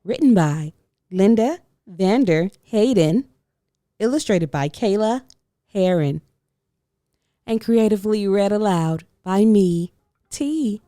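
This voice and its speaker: A woman narrating a children's story aloud in an expressive, scripted read-aloud style. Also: medium pitch, takes it slowly, long pauses, no room sound, clean studio sound. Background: faint music.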